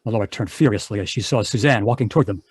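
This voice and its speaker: A man's voice: lowish voice, rapid-fire, darkish tone, slightly rough, no room sound. A man giving scripted narration in the style of an old-time radio adventure drama. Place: home, live online video performance.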